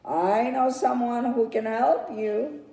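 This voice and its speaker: A woman's voice: on the high side, slow pace, warm tone, pitched above the performer's own voice. A woman voicing a helpful, earnest female bird who brings messages to young goats in a storybook. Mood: helpful, inviting. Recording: roomy echo, distant microphone.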